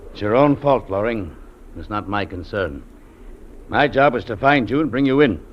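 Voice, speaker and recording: An actor playing a stern, unyielding Mountie sergeant, speaking curtly in 1950s radio drama style. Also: male voice, on the low side, animated, moderate pace. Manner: curt, stern, blunt. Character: hard, unyielding Mountie sergeant, adult man. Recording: dull, noisy old radio recording.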